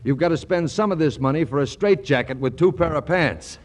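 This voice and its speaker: Male actor as excitable young spendthrift, slightly high voice, very lively intonation, flustered stammer, breezy airs, vintage radio comedy. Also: fairly quick, loud music behind the voice, natural voice. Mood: flustered, eager. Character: excitable young man frantically squandering a windfall. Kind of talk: 1940s radio comedy acting.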